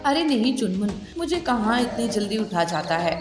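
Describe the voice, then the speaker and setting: A woman's voice: medium pitch, big pitch swings, normal speed, close to an everyday voice. Woman playing an echoey, motherly older cartoon bird. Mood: surprised. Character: older mother bird, bossy, fussy mother and mother-in-law. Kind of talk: children's cartoon storytelling. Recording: echoey, music behind.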